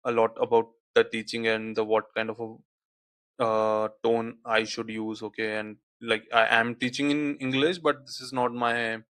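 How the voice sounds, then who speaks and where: A man whose first language is Hindi, walking through a software tutorial on screen, peppering his speech with "okay, like" fillers. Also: medium pitch, monotone, unhurried, smooth, dry recording. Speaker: tutorial instructor.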